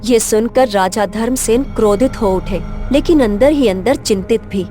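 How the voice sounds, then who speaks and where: A woman narrates a scripted story. Her voice is pitched a little high, in a storyteller's delivery. Faint music plays underneath her narration. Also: normal speed, warm tone.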